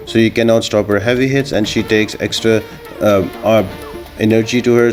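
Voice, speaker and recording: A man's voice: on the low side, darkish tone. A man giving a casual, step-by-step video game guide as the host of a gaming channel. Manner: instructional, step-by-step explaining.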